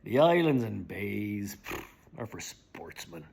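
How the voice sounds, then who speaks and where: A middle-aged man giving a slow, theatrical reading of lyrics, often dropping to a hushed whisper. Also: on the low side, very animated, gravelly, a little hiss or hum. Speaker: dramatic reader. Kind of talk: dramatic spoken reading of song lyrics.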